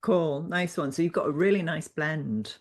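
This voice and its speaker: Give me an encouraging podcast host, a woman with a Manchester accent and a slightly low voice. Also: moderate pace. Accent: English, Manchester. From Manchester, England. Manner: warm, encouraging, repeats phrases. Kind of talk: casual interview chat.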